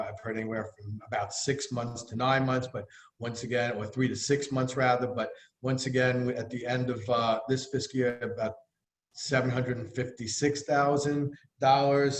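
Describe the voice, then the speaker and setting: A man's voice: mid-range voice, echoey room. A man with a Bronx accent giving a finance report on a video call, hesitant, with many fillers and self-corrections. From New York. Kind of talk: virtual meeting report.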